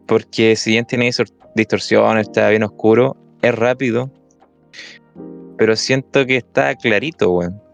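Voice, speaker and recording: Chilean young man, co-host, deep gravelly voice, loose and hesitant, faint music behind. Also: fairly even intonation, unhurried. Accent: Chilean Spanish. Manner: laid-back, hesitant, slangy. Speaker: podcast co-host. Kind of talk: casual music chat.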